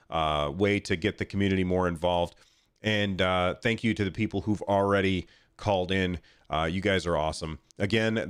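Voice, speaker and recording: A man with a fairly low voice hosts a podcast on his own. He sounds stuffed-up and nasal, as with a head cold. His delivery is informal and chatty, aimed straight at listeners, on a close, very dry microphone. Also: clear, resonant tone, no room sound. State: congested from a cold. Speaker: podcast host. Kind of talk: casual solo podcast talk.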